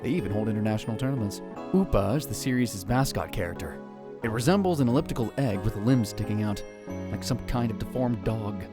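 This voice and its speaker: A man's voice: fairly low pitch, a little fast, natural voice. A man playing an anxious, dramatic young conspiracy theorist who narrates a visual novel in a theatrical, self-questioning way. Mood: wry, dismissive. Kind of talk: first-person visual novel narration, acted. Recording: music bed under the voice. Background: background music.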